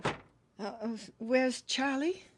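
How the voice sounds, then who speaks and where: A woman's voice: sits high, slow pace, husky, exaggerated voice. An actress playing a fretful, doting mother of a grown son in a radio drama, anxious and fussing. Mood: nervous, fussing.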